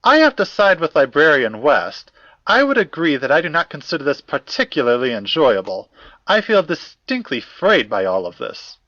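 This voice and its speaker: A fussy academic gentleman acted by a man speaks with ornate, courtly politeness and very animated pitch. He sounds slightly frayed, in an audio drama recorded dry and a little muffled. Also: normal speed, no room sound, exaggerated voice. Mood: courteous, weary. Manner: formal, wordy, old-fashioned politeness.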